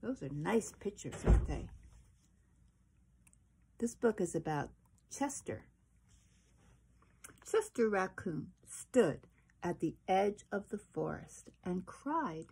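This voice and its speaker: A woman's voice: medium pitch, sing-song, slow, creaky, long pauses, warm tone, slightly rough. A middle-aged woman reading a picture book aloud to children in a small room. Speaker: storybook reader. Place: small wood-panelled room indoors.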